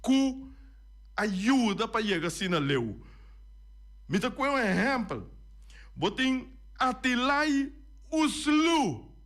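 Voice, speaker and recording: A man's voice: very high-pitched, very animated, slow, long pauses, fairly bright tone. A man hosting a radio talk show, making emphatic points and listing items one by one. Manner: emphatic, repeats and lists points. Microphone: studio radio microphone.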